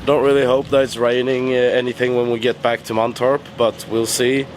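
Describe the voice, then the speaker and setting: A tired young man with a hoarse, worn-out voice, chatting casually to the camera in a rambling, halting way. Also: mid-range voice, level tone, a little hiss or hum. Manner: rambling, halting with many fillers. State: hoarse, lost voice. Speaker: vlogger. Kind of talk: casual vlog chat. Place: in a car.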